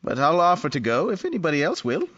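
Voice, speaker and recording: A man's voice: fairly high pitch, wildly swooping pitch, fast, fairly bright tone, pitched above the performer's own voice. A man voicing a callous, gossiping Victorian businessman with breezy, exaggerated chatter in an audio drama. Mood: smug, flippant. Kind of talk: theatrical audio drama acting. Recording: muffled lo-fi audio with music.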